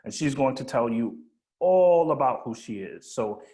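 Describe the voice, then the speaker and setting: A man's voice: mid-range voice, lively intonation, a little slow, warm tone. A man hosting a program for schoolchildren, introducing a guest speaker over a video call in an upbeat way. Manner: upbeat, addresses children. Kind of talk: introduction to a class.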